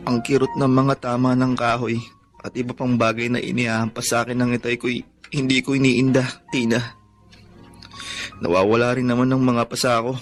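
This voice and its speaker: A man's voice: mid-range voice, little pitch movement, brisk, soft background music, close to an everyday voice. A Filipino man playing a devoted, long-suffering son in a radio drama, earnest, plaintive and respectful. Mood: hurt, anguished. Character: devoted, suffering, long-abused son who scavenges for junk.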